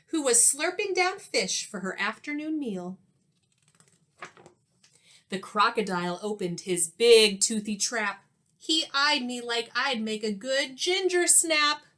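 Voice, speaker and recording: A woman reading a children's storybook aloud like a teacher, expressive and sing-song. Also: on the high side. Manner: expressive, sing-song, pausing to ask listeners questions.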